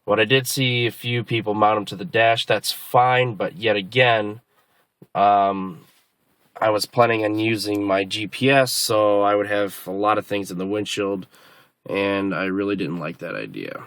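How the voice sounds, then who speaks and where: A man with a slightly deep voice talks in a casual, explanatory vlog style. His delivery is halting and unscripted, as he works out his wording while he goes. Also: fairly bright tone, smooth, no echo. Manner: halting, hesitant, thinking aloud. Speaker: car vlogger. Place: inside a car.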